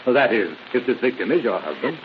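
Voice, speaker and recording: Actor as a brisk, firm police inspector. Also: male voice, medium pitch, sing-song, close to an everyday voice. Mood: questioning. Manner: measured, probing, polite but firm. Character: patient, businesslike male police inspector questioning people. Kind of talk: old-time radio drama acting. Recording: dull, hissy old radio recording.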